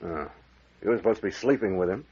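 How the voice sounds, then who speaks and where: An actor playing a brisk, commanding, hard-boiled captain and investigator with a wry edge in an old-time radio mystery. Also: male voice, sits low, darkish tone, breathy edge. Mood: brisk, suspicious. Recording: muffled old radio drama recording.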